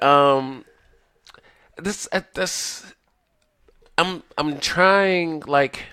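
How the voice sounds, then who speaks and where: A man's voice: medium pitch, fairly bright tone, dry recording. Young guy with a stuffy nose, rambling and cussing on a podcast, dead dry mic. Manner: rambling, swears, laughs at own jokes. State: congested. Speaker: podcast co-host. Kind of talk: casual chat.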